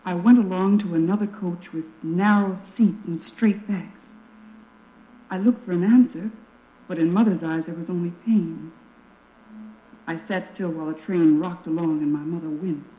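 A woman's voice: on the low side, steady tone, moderate pace, close to an everyday voice. Actress as a young girl narrating, echoey old radio drama. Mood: solemn, remembering. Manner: earnest, dramatic storytelling. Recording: echoey, muffled, noisy old radio recording.

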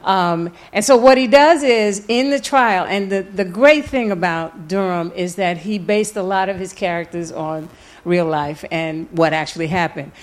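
Female lecturer, slightly low register, informal and emphatic, leaning on key words. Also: a little slow. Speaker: lecturer and author. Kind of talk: informal lecture with audio clips.

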